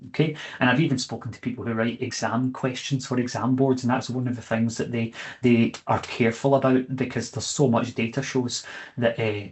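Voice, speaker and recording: A man's voice: mid-range voice, little pitch movement. Chatty male chemistry teacher. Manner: conversational, checks in with "okay?" and fills pauses with "eh". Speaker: chemistry teacher giving a training session. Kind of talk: online webinar presentation.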